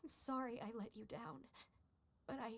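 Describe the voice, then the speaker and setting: A young, loyal member of an orphan household in a game story. The voice is high, soft and timid, heavy with emotion. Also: female voice, animated, normal speed. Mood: tearful. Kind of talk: game cutscene voice acting.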